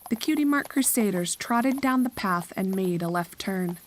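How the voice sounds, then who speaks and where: A woman's voice: mid-range voice, expressive, normal speed, a little hiss or hum. A woman narrator reading a scripted horror story in a storytelling style.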